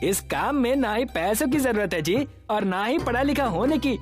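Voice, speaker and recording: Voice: mid-range voice, lively intonation, normal speed, pitched above the performer's own voice, natural voice. A narrator playing a humble, worried, earnest male South Indian dosa street vendor in a cartoon story, often ending phrases with a respectful tag. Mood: hopeful. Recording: music behind the voice.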